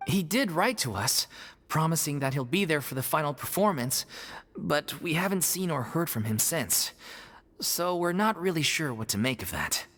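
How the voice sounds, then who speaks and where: A lively theater troupe member. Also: mid-range voice, moderate pace. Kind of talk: game cutscene voice acting. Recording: game soundtrack music behind.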